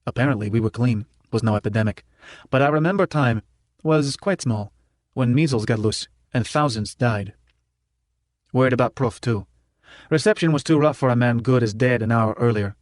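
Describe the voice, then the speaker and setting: A man's voice: mid-range voice, normal speed, no echo. Male narrator for first-person fiction, clipped telegraphic delivery, dry studio sound. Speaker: audiobook narrator.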